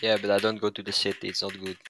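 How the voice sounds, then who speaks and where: Young male gamer from the Netherlands; lowish, bright, fairly flat delivery, terse replies. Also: rapid-fire, a little hiss or hum. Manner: laconic, short answers. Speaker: professional esports player. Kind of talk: casual interview chat.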